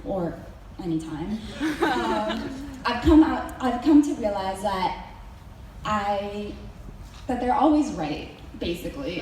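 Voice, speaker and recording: A woman's voice: mid-range voice, a little mellow, slight background noise. Young woman in a very echoey hall. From Mexico. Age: young adult. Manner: hesitant with many ums, wry joking asides. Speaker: student presenter. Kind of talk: senior presentation to a school audience. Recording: very echoey hall recorded from far off.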